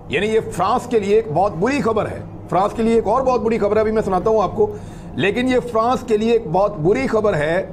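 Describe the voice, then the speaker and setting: A man gives conversational news commentary as a host, speaking emphatically with repeated phrases and rhetorical questions. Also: high-pitched.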